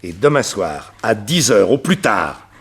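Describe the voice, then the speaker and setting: An actor playing a cold, commanding gang boss who blackmails and threatens in a French radio crime drama. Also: male voice, fairly low pitch, big pitch swings, a little slow, breathy edge, full character voice. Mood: cold menace.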